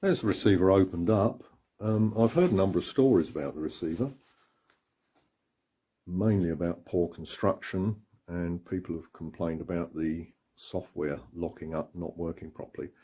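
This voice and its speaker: A man with a husky voice giving an unhurried, matter-of-fact hands-on demonstration of equipment. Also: low voice, mellow. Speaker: radio hobbyist presenter.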